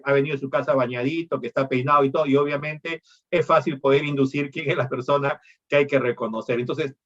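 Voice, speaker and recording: Man lecturing, slightly high voice. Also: highs cut off. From Peru. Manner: explanatory, rhetorical questions with tag "¿no?". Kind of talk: academic lecture on law.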